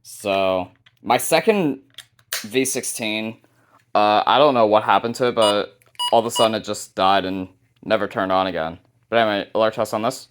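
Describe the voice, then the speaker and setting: A man with a fairly low voice hosts a hobby video. He talks casually, presenting items from a collection one by one in an informal show-and-tell way. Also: normal speed, background noise. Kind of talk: casual collection showcase narration.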